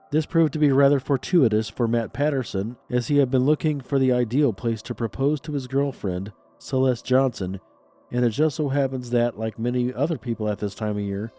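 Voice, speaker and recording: A man's voice: medium pitch, dark tone. Stuffed-up man hosting a spooky podcast. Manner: playfully spooky, theatrical host patter. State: stuffed up, congested nose. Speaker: podcast host. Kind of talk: scripted paranormal podcast narration.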